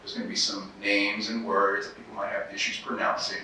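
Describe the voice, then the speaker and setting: A man speaking on a convention panel, telling stories in an animated, emphatic way. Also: fairly low pitch, brisk. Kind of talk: casual panel discussion. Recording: echoey hall from far off with faint noise.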